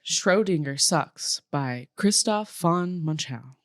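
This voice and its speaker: A man's voice: on the high side, expressive, takes it slowly, fairly bright tone. A man chatting casually and reading out stage directions at a table read. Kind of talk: casual chat and script reading.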